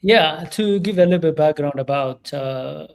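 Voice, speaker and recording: A man's voice: a little high, moderate pace, creaky, darkish tone. A middle-aged man from Ethiopia, a leadership coach speaking as an interview guest, earnest and emphatic.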